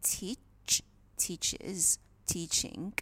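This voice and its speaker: A woman reading a dictation exercise as a teacher, in a deliberate, enunciated way with pauses between phrases. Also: lowish voice, steady tone, pushed and bright, slightly rough. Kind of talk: slow scripted dictation read-aloud.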